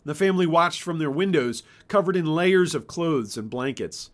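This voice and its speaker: Male author-host, 30s, slightly high voice, storybook read-aloud and informal book presentation. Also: animated, normal speed.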